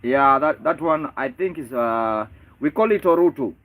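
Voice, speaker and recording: A man hosting a casual, excitable live stream, often repeating his words and calling out greetings. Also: mid-range voice, moderate pace. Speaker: live-stream host and musician.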